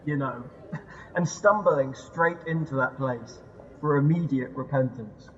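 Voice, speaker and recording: A man's voice: mid-range voice, unhurried, lightly acted. An actor in an audio drama playing an insistent, teasing man who prods like a nagging inner voice. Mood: musing, mocking. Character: needling male inner voice dredging up memories. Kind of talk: audio drama acting, poetic second-person narration. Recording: dull sound with long hall reverb.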